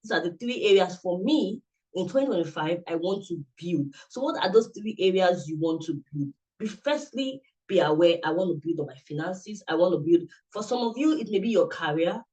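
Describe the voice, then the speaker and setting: A man's voice: high-pitched, expressive, normal speed, darkish tone, low-quality recording. A man giving a motivational talk as a presenter at an online summit, earnest and coaching, often repeating himself, speaking into a computer mic on a video call. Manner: earnest, repetitive, coaching directly.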